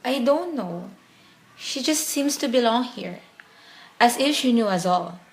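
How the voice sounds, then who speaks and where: Woman acting an anxious, higher-voiced woman. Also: animated, a little slow. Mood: uneasy. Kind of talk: radio play acting.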